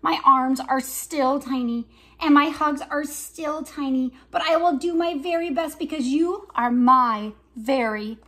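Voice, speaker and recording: Woman reading as an earnest, high-voiced tiny T-rex. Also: sharp tone. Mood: sincere, determined. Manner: simple, careful, deliberate storybook phrasing.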